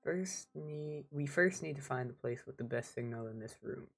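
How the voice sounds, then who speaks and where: A woman doing casual game-stream commentary and reading dialogue aloud in a husky, gravelly voice. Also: very deep voice, lively intonation, normal speed.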